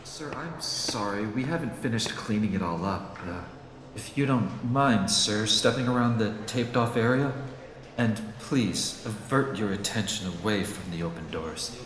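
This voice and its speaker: A low-voiced actor playing an eerie attendant at an accident scene in a horror audio play. He is polite, deferential and ominous. His words ring in a long hall reverb with faint noise behind. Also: moderate pace, lightly acted. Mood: polite, grave. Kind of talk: horror audio drama dialogue.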